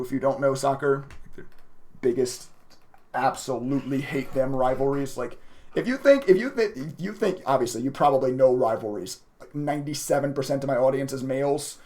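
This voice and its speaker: Raspy, chatty male livestreamer. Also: mid-range voice, darkish tone. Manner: chatty, emotive, laughs often. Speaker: livestreaming day trader and content creator. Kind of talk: casual live stream commentary to viewers.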